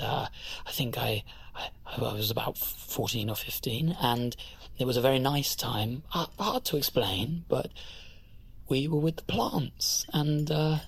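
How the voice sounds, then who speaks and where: A timid, earnest middle-aged English aunt in an audio drama. Her voice is exceptionally deep for a woman and very breathy. She speaks slowly and haltingly, feeling for words. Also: heavily acted. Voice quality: very low, husky, breathy. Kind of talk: naturalistic audio drama acting.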